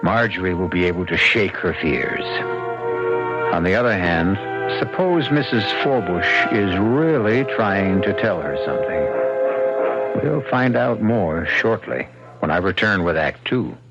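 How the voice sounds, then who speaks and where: Guy narrating an old radio mystery, low ominous voice, muffled with music under. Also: animated, unhurried. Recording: dull old radio recording, music behind.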